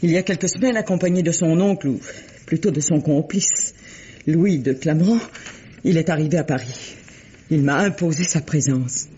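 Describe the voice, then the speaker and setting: An actress playing a remorseful wife who makes an earnest, pleading confession in a vintage French radio drama. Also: female voice, low voice, normal speed, warm tone, slightly rough. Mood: urgent confession. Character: remorseful wife confessing a long-hidden secret to her trusting husband. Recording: dull old radio recording.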